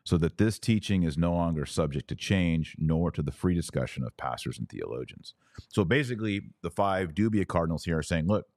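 A man with a low voice delivers opinionated religious commentary as a podcast host. He teaches emphatically, posing rhetorical questions and sometimes reading aloud. The sound is dry, with very little room around the voice. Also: darkish tone, dry recording. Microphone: close mic at a webcam setup.

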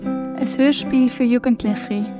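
A woman's voice: a little high, steady tone, slow pace. A woman giving a scripted title announcement for a radio play. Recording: loud music behind the voice.

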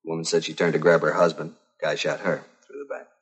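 Grim cop, low husky voice, clipped flat reporting, 1950s crime drama. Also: male voice, fast talker. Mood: somber. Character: grim, plainspoken male policeman reporting a double killing.

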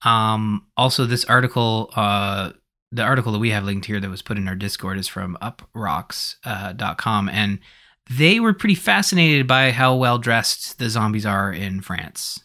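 A man's voice: on the low side, expressive, normal speed, sharp tone. A man hosting a podcast in a casual, conversational way, thinking aloud as he goes. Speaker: podcast host.

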